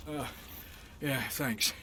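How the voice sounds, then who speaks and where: An actor playing an anxious, excitable common soldier in a fantasy video game, jittery and exclamatory. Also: male voice, mid-range voice, very slow, background noise. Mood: shaken, relieved.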